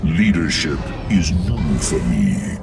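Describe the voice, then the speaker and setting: An actor playing a humble robotic alien warrior in a video game, speaking formally, earnestly and with deliberation. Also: male voice, very deep voice, big pitch swings, takes it slowly, gravelly, recorded from a distance, heavily acted. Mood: earnest respect. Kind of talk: dramatic video game acting. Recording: loud music behind the voice.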